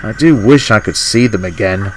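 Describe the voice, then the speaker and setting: A man's voice: fairly low pitch, moderate pace, crowd noise, lightly acted, low-quality recording. A man voicing a timid, repentant old brake van in a children's storybook, speaking in a hesitant, apologetic way. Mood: sad, wistful.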